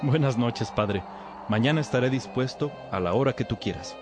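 An actor playing an obedient young novice, earnest and respectful, in a religious radio drama. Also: male voice, mid-range voice, lively intonation, normal speed, music in the background, close to an everyday voice. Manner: respectful, deferential.

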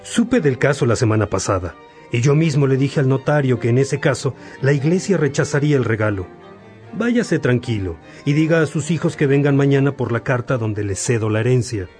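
A man acting as a kindly, wise bishop and judge in a radio drama, speaking in a measured, paternal, pastoral way. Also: mid-range voice, lively intonation, darkish tone, natural voice. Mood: firm, fatherly. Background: music.

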